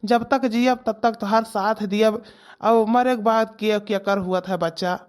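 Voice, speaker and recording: A woman with an Awadhi accent voicing a kindly, resigned old village father in a cartoon, speaking gently and tenderly. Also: medium pitch, fairly even intonation, measured pace, close to an everyday voice. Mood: resigned, consoling. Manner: gentle, fatalistic, addressing listener tenderly as child. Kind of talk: comic village cartoon dialogue.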